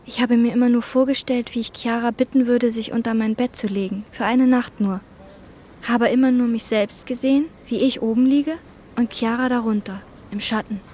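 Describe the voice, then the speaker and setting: An actress playing a quiet, obsessive hotel chambermaid who speaks in slow, introspective radio-drama monologue. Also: female voice, a little high, steady tone, slight background noise, lightly acted. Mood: brooding. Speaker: narrator and lead character.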